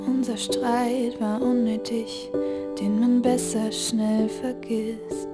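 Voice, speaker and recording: Female ballad vocalist, high register, drawn-out slow phrasing, full musical backing. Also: little pitch movement, over loud music. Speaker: singer. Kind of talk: slow sung pop ballad. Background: musical accompaniment.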